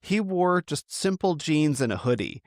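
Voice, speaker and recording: A man's voice: fairly high pitch, very animated, normal speed, no room sound, studio quality. An American man hosting a podcast, talking casually and thoughtfully, repeating words as he thinks. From United States. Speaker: podcast host. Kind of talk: casual conversation.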